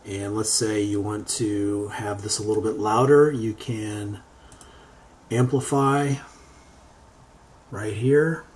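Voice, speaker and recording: A man giving an unscripted how-to software demonstration, thinking aloud in a halting way as he works. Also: on the low side, level tone. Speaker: tutorial presenter. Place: indoors, beside a computer. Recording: homemade recording with background noise.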